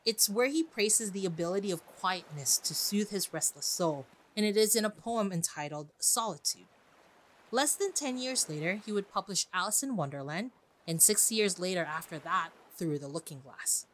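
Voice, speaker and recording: A woman hosting a podcast in a chatty, expressive monologue, speaking directly to her listeners. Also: mid-range voice, normal speed, slight background noise. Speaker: podcast host. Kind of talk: podcast monologue on classic books.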